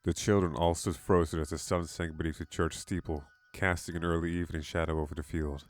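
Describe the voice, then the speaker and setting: A man's voice: sits low, little pitch movement, fairly quick, soft background music. A man reading a scripted horror story as its narrator.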